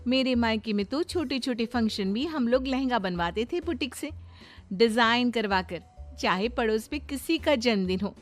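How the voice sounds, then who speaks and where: A woman's voice: on the high side, lively intonation, moderate pace, close to an everyday voice. A woman voicing a boastful, chatty young daughter-in-law who exaggerates airily in a cartoon moral story. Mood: smug. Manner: bragging, dismissive, exaggerating. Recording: music behind the voice.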